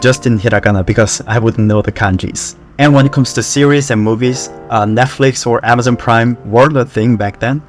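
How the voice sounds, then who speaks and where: A young man from Korea with a Korean accent, talking to camera in a relaxed, conversational way about how he learned a language. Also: medium pitch, brisk, few pauses, soft background music. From South Korea. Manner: relaxed, conversational, with fillers like "you know" and "like". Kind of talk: casual talk to camera.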